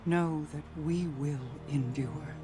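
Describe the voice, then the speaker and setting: A solemn, composed queen delivers a video game monologue. She speaks very slowly and formally, with grave wisdom, and music plays clearly behind her. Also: low-pitched. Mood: grave, resolute. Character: regal, solemn otherworldly queen, composed and wise.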